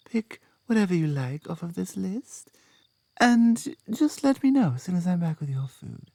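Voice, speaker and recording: Soft, slow British werewolf girlfriend played by a woman. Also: lowish voice, vocal fry, no echo, heavily acted. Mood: warm, attentive. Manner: gentle, halting, earnest, often dropping to a whisper. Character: affectionate, grateful young werewolf girlfriend, softly doting. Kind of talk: ASMR roleplay, intimate soft speech with whispers. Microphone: close microphone.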